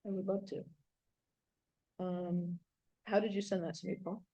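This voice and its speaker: Halting woman closing a memorial. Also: lowish voice, level tone, mellow. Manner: halting, with many fillers and restarts. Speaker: organizer thanking guests at a memorial. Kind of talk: unscripted closing remarks. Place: rented event venue. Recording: dull lo-fi sound.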